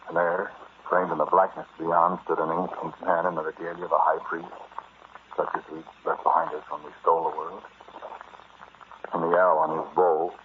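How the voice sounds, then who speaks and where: Actor as deep-voiced, boastful treasure thief, old radio. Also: male voice, close to an everyday voice. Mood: cold, brooding. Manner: halting, intimate, addressing a listener directly. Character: boastful, callous male treasure thief turned megalomaniac. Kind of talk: old-time radio horror acting, first-person narration. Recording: muffled, noisy vintage radio recording.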